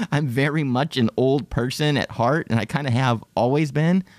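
A man's voice: mid-range voice, a little mellow, no echo. A man in his late thirties, rambling and profane in casual self-deprecating podcast chat. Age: 30s. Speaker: podcast host.

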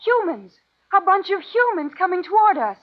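An anxious young daughter speaks with theatrical emotion in 1950s radio style. Her very high voice swoops about, on a muffled, echoey old recording. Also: very animated, normal speed. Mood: alarmed, excited. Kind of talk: 1950s radio drama acting.

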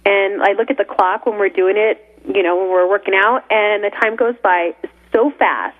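Woman, radio co-host, chatty, laughs a lot, middle pitch, tinny phone-band audio. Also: moderate pace. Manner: chatty, laughs often, trailing sentences. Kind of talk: casual studio chat. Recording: narrow phone-like radio sound.

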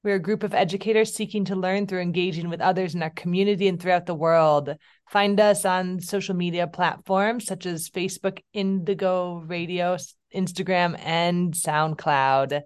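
Smooth-voiced woman radio co-host. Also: on the low side, steady tone, normal speed. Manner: chatty, laughs often, thinks aloud with ums. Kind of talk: casual radio chat.

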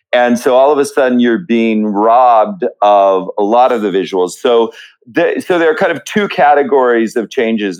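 A man speaking as an interview guest, explaining his writing process conversationally and thinking aloud in a measured way. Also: fairly low pitch, a little mellow, clear, resonant tone, poor audio.

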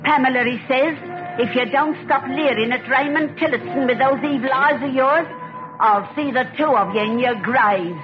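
An actress playing a gossipy, indignant witness with a folksy manner in a 1940s radio drama. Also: female voice, sits high, normal speed, close to an everyday voice. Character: gossipy, disapproving British female witness. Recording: muffled vintage radio recording over music.

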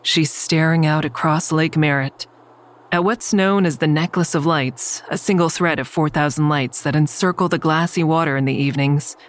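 A woman's voice: low voice, moderate pace, natural voice. A woman playing a wary, wry character who narrates her own story in an audio drama, in a confiding way. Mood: descriptive, calm.

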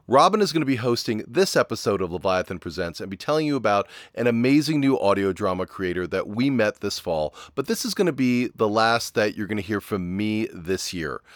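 A man's voice: on the low side, no room sound, studio quality. A man hosting a podcast, delivering an upbeat scripted announcement to listeners. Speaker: podcast host.

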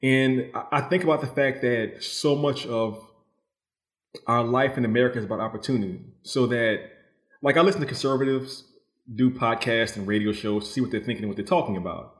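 A man's voice: mid-range voice, fairly quick. A man giving thoughtful, conversational answers as a novelist guest, explaining his thinking and voicing other people's views as he goes. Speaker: novelist guest being interviewed. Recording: roomy, echoing voice.

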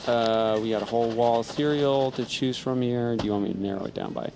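An actor playing a middle-aged, protective, gently teasing father in a naturalistic audio drama. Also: mid-range voice, steady tone, brisk, a little hiss or hum, lightly acted. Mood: affectionate, placating.

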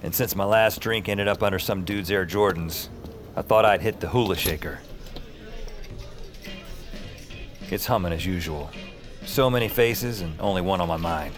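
A man's voice: deep voice, normal speed, soft background music, natural voice. An actor playing a world-weary, wisecracking drinker who narrates his own nights in an audio drama, with a sardonic, wry delivery. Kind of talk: first-person audio drama narration and dialogue.

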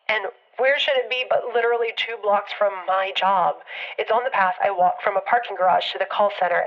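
A woman's voice: mid-range voice, natural voice. An actress with an American accent playing an anxious, grieving woman leaving a hesitant, rambling, confessional voicemail in an audio drama. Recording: muffled lo-fi phone message with music behind.

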